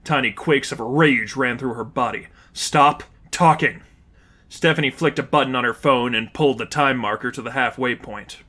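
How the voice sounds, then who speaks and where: A man's voice: mid-range voice, brisk, hardly any pauses, pushed and bright. A man narrating scripted fiction as a storyteller, reading prose aloud.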